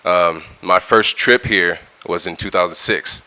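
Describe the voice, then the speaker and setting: Deep-voiced laid-back man. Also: unhurried, bright, forceful tone, smooth. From California, United States. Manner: laid-back and slangy, repeats words and restarts. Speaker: music producer guest. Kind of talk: casual interview talk.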